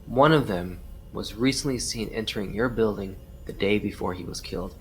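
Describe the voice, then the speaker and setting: A man acting as a calm, formal police detective who persistently questions a witness in a crime audio drama. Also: lowish voice, moderate pace, faint music behind, lightly acted. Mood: calm, probing.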